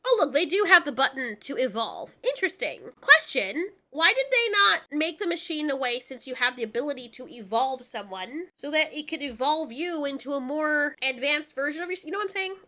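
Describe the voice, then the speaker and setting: Female commentary host, high and forceful, exasperated and sarcastic, casual comedic recap delivery. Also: very animated, a little fast, few pauses. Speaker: host of a movie commentary channel.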